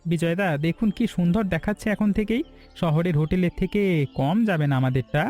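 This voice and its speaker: A man's voice: high voice, a little fast, a little mellow, close to an everyday voice. A man playing an eager, ambitious village business partner in a cartoon story, persuasive and respectful. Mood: proud, eager. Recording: background music throughout.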